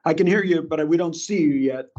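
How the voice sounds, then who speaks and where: A man chairing a public meeting, speaking slowly and hesitantly with many fillers. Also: on the high side, a little mellow. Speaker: board chair. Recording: muffled, distant meeting audio.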